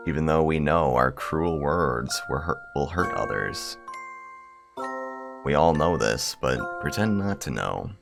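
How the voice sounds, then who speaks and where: A man's voice: rumbling low, lively intonation, a little slow, gravelly. A man hosting a gaming video, talking casually and reading visual novel text aloud. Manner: blunt, crude, sweary joking. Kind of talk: casual commentary and read-aloud narration of game text. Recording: music playing behind the voice.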